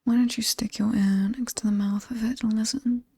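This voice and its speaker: A British woman playing an alluring, sinister demon mistress of a haunted house in a horror ASMR roleplay, breathy and often whispering, with a taunting, teasing manner. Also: a little high, fairly even intonation, fairly quick, mellow, no echo, studio quality. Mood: sinister relish.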